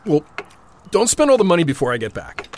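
Man acting a cheeky son with lively pitch. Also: brisk, slight background noise. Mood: teasing. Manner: wry, mock-grand ribbing. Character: teasing, cheeky grown son of working age. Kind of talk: audio drama acting.

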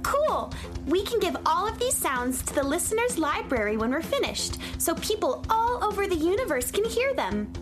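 A cheerful, curious eight-year-old space girl in a children's radio play. The voice is extremely high and swings up and down in a lively way. Music plays behind it. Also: moderate pace. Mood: excited.

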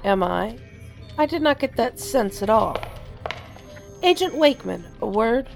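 Stern female agency chief, sci-fi audio drama, cold authority, high register with gravelly edges. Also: expressive, a little slow, darkish tone, soft background music. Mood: cold, commanding. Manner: cold, commanding, curt. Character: stern, imperious female deputy director of a federal agency. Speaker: actor in a science fiction audio drama.

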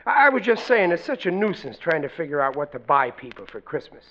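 Blustering, swooping-voiced radio sitcom dad. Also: male voice, a little high, big pitch swings, fairly quick, sharp tone, heavily acted. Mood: insistent. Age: middle-aged. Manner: blustering, ungrammatical, stammering restarts. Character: blundering, opinionated working-class family father. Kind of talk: 1940s radio sitcom acting. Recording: dull, lo-fi vintage radio recording.

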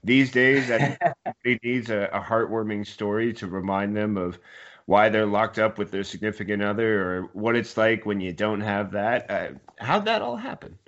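Low-voiced male interviewer, lo-fi audio. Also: normal speed, fairly bright tone. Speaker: interview host. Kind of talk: casual remote interview.

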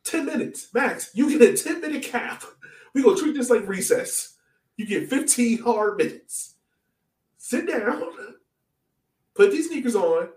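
A man's voice: extremely high pitch, lively intonation, unhurried, pauses often, darkish tone. A man co-hosting a sports podcast, chatting casually about soccer in an informal, joking way. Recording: roomy echo, distant from mic.

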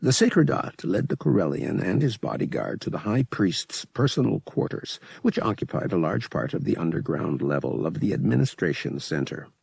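A man reading a scripted audiobook story as narrator, in a deliberate, expressive, dramatic storytelling manner. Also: lowish voice, creaky, breathy edge, dry recording.

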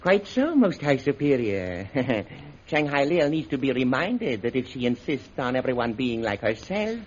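A man's voice: medium pitch, very animated, moderate pace, natural voice. Dull noisy old radio, guy doing a pompous bootlicking general, formal and flowery. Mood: smug flattery. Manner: formal, flowery, deferential, broken English. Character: obsequious, pompous Chinese general, proverb-quoting henchman. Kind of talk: 1930s radio serial acting.

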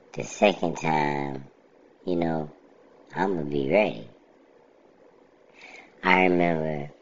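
Very deep, gravelly, slow-talking man. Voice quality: monotone, level delivery. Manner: unhurried, reflective, plainspoken. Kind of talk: casual personal monologue. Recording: slightly dull lo-fi recording.

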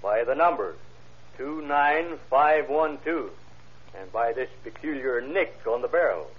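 An actor playing a matter-of-fact police ballistics expert on the stand, giving clipped factual answers in 1930s radio style. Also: male voice, medium pitch, expressive, measured pace, natural voice. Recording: muffled old radio recording.

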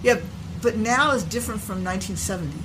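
An older woman recalling her past in a relaxed, unhurried way, pausing to search for words. Her voice sits at a moderate pitch. A constant noise runs beneath her. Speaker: stepmother telling her past. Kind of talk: casual conversational interview. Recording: noticeable background noise.

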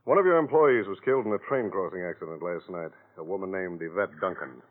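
An actor playing a shrewd, deceptively casual police captain, businesslike and probing, in a 1940s radio drama. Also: male voice, sits low, fast, close to an everyday voice. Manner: businesslike, probing, matter-of-fact. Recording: tinny old radio recording.

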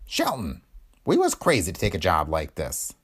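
A man's voice: low voice, huge pitch swings, moderate pace, fairly bright tone, breathy edge, full character voice. A man voicing a crafty, cackling old male detective who talks in a folksy, ungrammatical way. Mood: grumbling.

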